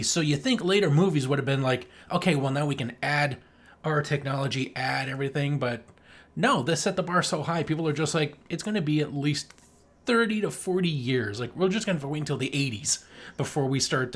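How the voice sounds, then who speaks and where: A man's voice: on the high side, a little fast, fairly bright tone. A man co-hosting a podcast, chatting casually and giving his opinions with emphatic enthusiasm. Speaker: podcast co-host. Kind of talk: casual opinionated movie chat.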